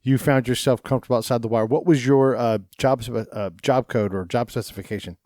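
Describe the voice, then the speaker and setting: A man hosting a podcast interview, asking questions in a relaxed, chatty way and laughing easily. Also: medium pitch, a little fast, no room sound, studio quality. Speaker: podcast host. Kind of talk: casual interview chat.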